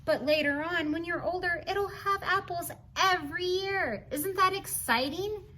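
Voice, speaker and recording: A woman with a high, bright voice reads a children's storybook aloud. She is expressive and teacherly, often stepping out of the text with encouraging asides and questions. Some light noise sits behind her voice. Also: a little slow.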